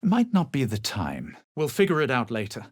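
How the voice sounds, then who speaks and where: An actor playing a proud, self-assured adventurer in a fantasy game, with measured, theatrical delivery and a husky voice. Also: male voice, medium pitch, huge pitch swings, no echo, studio quality. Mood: resolute, assured. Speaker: player character voice actor. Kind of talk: dramatic scripted fantasy game lines.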